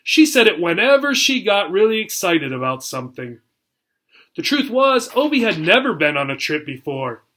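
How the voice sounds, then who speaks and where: A man working as a teacher, reading a children's chapter book aloud in an expressive, explanatory way and checking in with listeners. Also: sits high, moderate pace. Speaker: teacher reading a story to learners.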